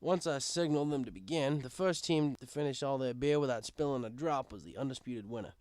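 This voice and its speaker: A man's voice: mid-range voice, a little fast, darkish tone, no echo, studio quality. A man reading a scripted first-person story as an audiobook narrator, with dramatic emphasis. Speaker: audiobook reader.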